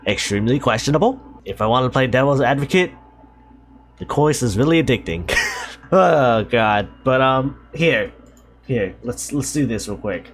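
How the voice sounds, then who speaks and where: A man casually reacting to an album, rambling and hesitant, joking and now and then singing along. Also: medium pitch. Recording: music playing clearly behind. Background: song playing loudly.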